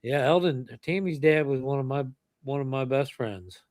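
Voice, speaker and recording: A man's voice: mid-range voice, moderate pace, a little mellow, no echo, studio quality. A middle-aged man hosting a casual family chat, telling rambling stories and chuckling as he goes. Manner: rambling storyteller, chuckles often. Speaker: channel host.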